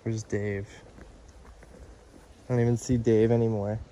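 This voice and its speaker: A young man in his twenties vlogging by phone at arm's length outdoors, talking in an excited, chatty way full of "dude" and "like". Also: on the low side, a little slow, lo-fi sound, a little hiss or hum. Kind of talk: casual vlog narration. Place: outdoors at an abandoned waterpark. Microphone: phone held at arm's length.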